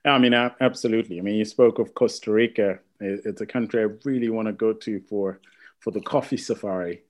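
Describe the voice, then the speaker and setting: A man hosting an interview in a casual, conversational way, with tentative, halting and loosely worded questions. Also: on the low side, fairly even intonation. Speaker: interview host.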